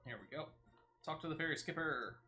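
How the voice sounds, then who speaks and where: A man's voice: a little high, expressive, fairly quick, sharp tone, soft background music. A man streaming a video game, casually thinking aloud and narrating each of his moves. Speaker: gaming streamer. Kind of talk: casual gameplay commentary.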